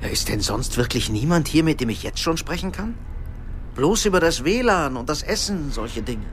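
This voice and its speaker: A man's voice: fairly high pitch, very animated, moderate pace, slight background noise. A man acting a weary middle-aged businessman in a naturalistic radio drama, politely insistent. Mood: frustrated, polite.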